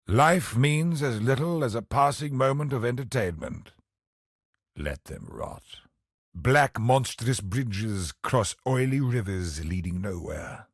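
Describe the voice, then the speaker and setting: An actor voicing a grave, bitter, ancient cosmic sorcerer in a video game, speaking in solemn, portentous, theatrical lines with a husky voice. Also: male voice, mid-range voice, no echo, studio quality, full character voice. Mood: bleak, foreboding. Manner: solemn, portentous, dramatic slow delivery.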